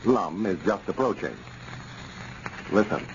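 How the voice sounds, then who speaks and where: Vintage radio announcer, man, scripted and emphatic, highly varied pitch, noisy old broadcast sound. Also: expressive, unhurried. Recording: scratchy, muffled 1930s radio recording.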